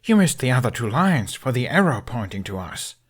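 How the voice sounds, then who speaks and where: A man's voice: mid-range voice, sing-song, fairly quick, slightly rough, pitched above the performer's own voice. A sarcastic young dragon rider grumbles with dry wit, as a male reader puts him on. His lines are drawn out and exasperated, in a fan audiobook.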